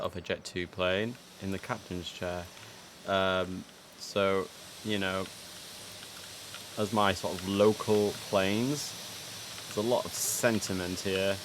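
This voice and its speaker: A man's voice: sits low, fairly bright tone. A young man streaming an air traffic control game, chatty and enthusiastic with lots of fillers, sniffly from hay fever. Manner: chatty and enthusiastic, many fillers and self-corrections. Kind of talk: casual live-stream commentary.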